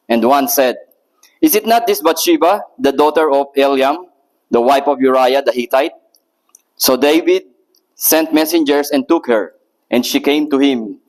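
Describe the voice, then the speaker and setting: Filipino-british guy preaching, kinda nervous, lots of uhs, normal pitch. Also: darkish tone, rough recording. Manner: nervous, hesitant, many filler ums. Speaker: guest preacher. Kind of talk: sermon with scripture reading.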